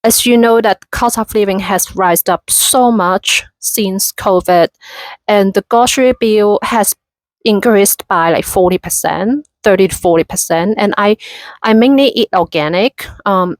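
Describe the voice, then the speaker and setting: A woman's voice: mid-range voice, a little slow, dry recording. A woman in her thirties from Hong Kong with a Hong Kong accent, chatting casually in a vlog with many fillers and restarts. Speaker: vlogger.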